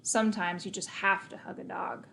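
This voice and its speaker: Female storybook reader, mid-range voice, expressive first-person delivery for a children's novel. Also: medium pitch, normal speed, creaky.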